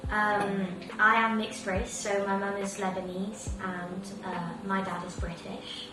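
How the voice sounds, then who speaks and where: A woman's voice: mid-range voice, level tone, unhurried, background noise. Teasing teen girl in an echoey room. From Dubai. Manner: dry, teasing. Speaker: podcast co-host. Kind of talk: casual podcast chat. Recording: very echoey, distant mic, music behind.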